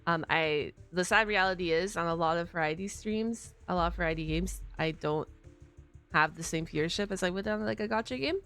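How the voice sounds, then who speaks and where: A woman's voice: fairly low pitch, fairly quick, a bit bright. A woman game streamer giving casual, slangy live commentary in a conversational way. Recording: game music faintly behind the voice.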